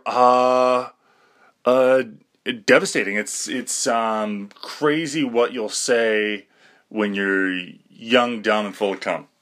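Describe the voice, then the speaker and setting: A man's voice: mid-range voice, a bit bright. A young man talking through a rambling, self-deprecating podcast monologue, full of fillers and restarts. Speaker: podcast host.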